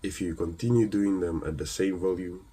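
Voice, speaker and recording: A man from Ukraine delivers an earnest, emphatic motivational monologue, halting between phrases and posing rhetorical questions. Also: deep voice, warm tone, breathy edge. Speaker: motivational speaker.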